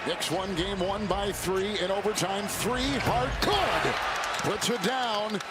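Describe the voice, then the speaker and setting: A high-pitched man calls a basketball game live. His phrases are short and punchy, following each play. Very loud arena noise and ball bounces sit behind him. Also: takes it slowly, over a noisy crowd. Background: basketball game ambience.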